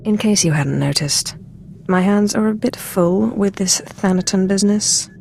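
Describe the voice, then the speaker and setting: An actress playing a cool, commanding Sith Lord in a video game, with a dry, imperious manner. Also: female voice, medium pitch, moderate pace, creaky, a little mellow, soft background music, natural voice.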